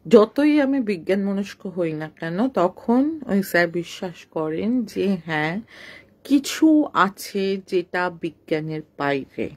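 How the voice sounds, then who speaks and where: Woman just telling a horror story off the cuff, chatty, bit of english mixed in, medium pitch. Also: sing-song, slow, darkish tone. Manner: conversational, with English words mixed in. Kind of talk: informal unscripted storytelling of a ghost tale.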